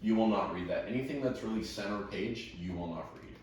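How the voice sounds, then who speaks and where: A young man directing a script table read, talking casually in a halting, rambling way. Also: lowish voice, darkish tone. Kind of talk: casual explaining. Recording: roomy, echoey, distant mic with noise.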